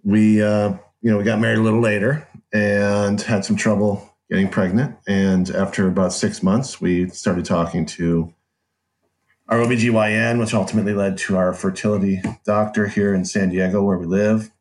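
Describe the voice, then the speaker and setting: Middle aged american guy, lowish voice, telling his story casually, kinda wry and candid. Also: fairly even intonation, normal speed, darkish tone. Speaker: podcast guest, author. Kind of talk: conversational personal storytelling.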